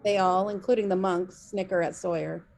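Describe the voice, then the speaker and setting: A woman's voice: on the low side, fairly even intonation, a little fast, gravelly, a little mellow, a little hiss or hum. Woman reading script stage directions. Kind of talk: scripted narration at a table read. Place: remote video call read. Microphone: video call.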